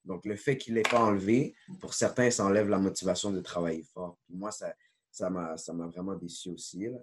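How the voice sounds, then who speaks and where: A young man with a Québécois French accent talks in a low voice, easygoing and chatty, with plenty of "euh" fillers. He is a student guest joining on a video call. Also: fairly quick. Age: young adult. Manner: relaxed, chatty, frequent "euh" and "là". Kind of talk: casual interview over video call.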